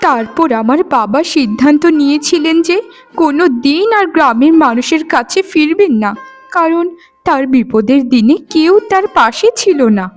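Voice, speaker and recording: Woman acting as young fairytale maiden, high voice, sweet, innocent, earnest, cartoon dialogue over music.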